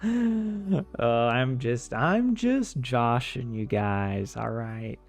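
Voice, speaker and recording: A man's voice: medium pitch, expressive, takes it slowly, creaky, faint music behind. A man from the American South chatting casually on a livestream in a rambling, self-deprecating, joking way. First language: English. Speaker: streamer learning Finnish.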